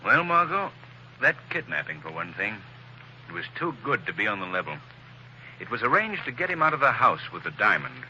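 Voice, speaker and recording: An actor playing a calm, commanding male crime-fighter in a 1940s radio drama, with a smooth, assured, softly ominous manner. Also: fairly low pitch, fairly quick, muffled recording, a little hiss or hum, exaggerated voice. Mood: explaining.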